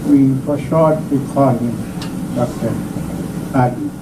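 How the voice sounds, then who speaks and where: A man from Sri Lanka, giving a formal speech introducing an author. He speaks haltingly and often corrects himself. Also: medium pitch. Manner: slow, halting, with frequent self-corrections. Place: event hall at a book launch. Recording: echoey room, distant mic, background noise.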